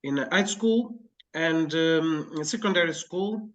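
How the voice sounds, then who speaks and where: A man from Portugal with a Portuguese accent, a teacher introducing himself on a video call, halting and searching for words. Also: fairly high pitch, dull audio.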